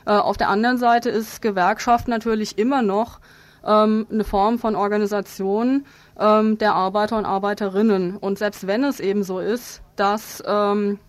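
Woman activist talking in a radio interview, lots of ums, pretty flat pitch, dry close sound. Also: no echo. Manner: hesitant, halting delivery with frequent ums. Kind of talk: radio interview, spontaneous political explanation.

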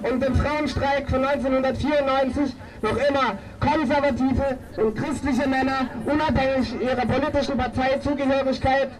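Woman, very high-pitched, declamatory rally speech, far from the mic, outdoor street noise. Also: steady tone, moderate pace, few pauses, a crowd in the background. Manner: declamatory, combative. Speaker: demonstration speaker, feminist activist. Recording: distant outdoor microphone, loud street noise.

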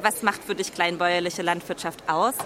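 A woman's voice: lowish voice, fairly even intonation, moderate pace, background noise. A woman asking questions as a street interviewer at an outdoor market. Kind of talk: vox pop interview questions.

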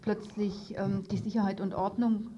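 A woman's voice: mid-range voice, flat delivery, normal speed. A woman hosting an informal radio interview, phrasing her questions tentatively as if thinking aloud. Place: radio studio. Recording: dull lo-fi radio tape, noisy.

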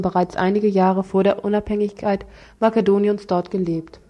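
A female radio presenter reads scripted news in a studio. Her voice is soft-edged and mellow, sitting at a middle pitch for a woman.

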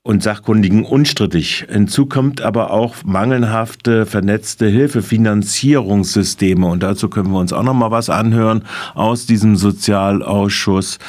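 A man reporting on a council committee meeting in a halting delivery, pausing mid-sentence. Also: on the low side, a little mellow, dry recording. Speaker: radio reporter.

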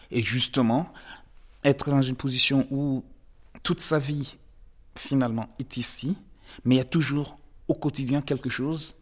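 A man from the DR Congo, a guest artist giving measured, reflective interview answers with frequent pauses and emphatic rises. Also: medium pitch, breathy edge. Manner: measured and thoughtful, pausing often, rising to emphatic stresses.

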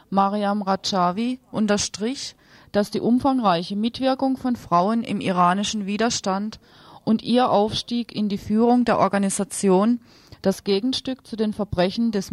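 A woman's voice: medium pitch, no room sound. A woman presenting radio news, reading reports with brief informal introductions.

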